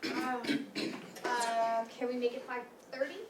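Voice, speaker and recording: A woman's voice: on the high side, flat delivery, a little slow, lightly acted. A woman in a staged play reading playing a frank but hesitant young woman, speaking casually. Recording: roomy, distant mic, faint noise.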